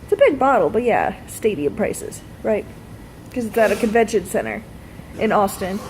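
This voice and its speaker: A woman's voice: medium pitch, lively intonation, moderate pace, darkish tone, slight background noise, poor audio. A woman telling a personal story in a casual vlog style, blunt and freely swearing. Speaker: vlogger.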